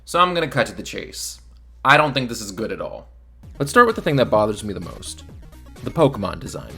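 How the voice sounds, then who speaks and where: Blunt low-voiced man, creaky edge. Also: very animated, fairly quick, bright, forceful tone, faint music behind. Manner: blunt, sardonic. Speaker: video film reviewer. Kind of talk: scripted video essay commentary.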